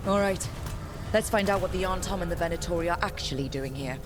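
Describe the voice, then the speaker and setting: A woman playing a calm, determined young adventurer leading a party in a video game, with game sound effects behind her. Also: fairly low pitch, quick pace, background noise, close to an everyday voice. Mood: matter-of-fact.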